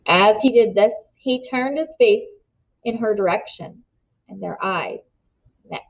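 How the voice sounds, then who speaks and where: A woman narrating a children's novel aloud in an expressive storybook style, on a slightly muffled recording. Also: medium pitch, a little slow. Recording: slightly dull, muffled sound.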